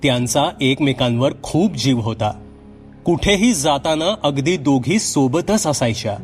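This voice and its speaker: A man's voice: medium pitch, lively intonation, a little slow, soft background music. A man narrating a scripted horror story as a storyteller, in a dramatic, suspenseful manner.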